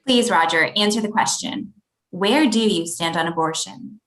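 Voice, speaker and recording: A woman playing an officious debate moderator in a comedic table read, formal and deadpan. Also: medium pitch, normal speed, lightly acted, low-quality recording. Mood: firm, deadpan.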